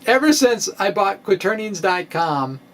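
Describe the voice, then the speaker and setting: A middle-aged man reading his science blog dramatically, in a quirky, halting way with drawn-out pauses. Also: high voice. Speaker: physics video blogger. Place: small indoor room with bookshelves. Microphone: microphone on a stand in front of him. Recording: steady clear background noise.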